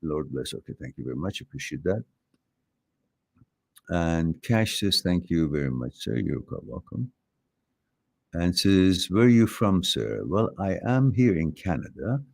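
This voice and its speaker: Very deep-voiced older Iranian man. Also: very animated, unhurried, creaky, a little mellow, husky. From Iran. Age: 60s. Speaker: host of a live talk, answering viewer questions. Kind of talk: informal philosophical talk.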